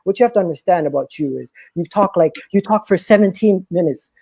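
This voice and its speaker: Combative guy, really quick, keeps saying the same thing, dry mic. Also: high-pitched, vocal fry, mellow, husky, dry recording. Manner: repetitive, combative. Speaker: fan panelist. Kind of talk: heated argument.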